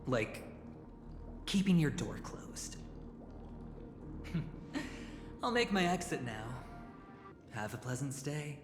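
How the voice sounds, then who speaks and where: A man's voice: a little high, sing-song, exaggerated voice. A man voicing a sly, playful and menacing gambler in a video game, teasing and drawing out his words theatrically. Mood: sly, smooth. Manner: teasing, drawn-out, theatrical delivery. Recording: loud music, echoey reverb.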